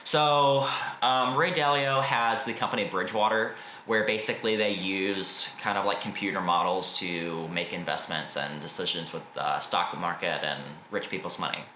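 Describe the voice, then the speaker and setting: A man's voice: deep voice, fairly even intonation, normal speed, pushed and bright. A man giving a casual, informal spoken book review, blunt with his opinions but often hedging them. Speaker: book reviewer and video host. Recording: echoey room with faint background noise.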